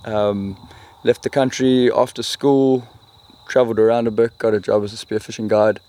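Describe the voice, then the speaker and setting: A man's voice: fairly low pitch, flat delivery, normal speed, warm tone. A man with a South African accent, chatting casually as an interview guest in a wry, joking manner. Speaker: interview guest, spearfishing guide and retailer. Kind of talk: casual chat.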